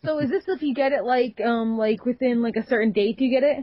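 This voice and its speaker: A woman chats on a gaming podcast, blunt and full of fillers as she talks. Her voice sits a bit high. The recording is dry and dull, from a home setup. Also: brisk, no room sound. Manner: chatty, filler-heavy, blunt. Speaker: podcast co-host. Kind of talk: casual gaming podcast chat.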